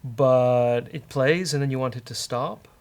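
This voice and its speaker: A man's voice: mid-range voice, measured pace, a little mellow. A man hosting a casual coding show, bantering with a co-host and thinking aloud as he works.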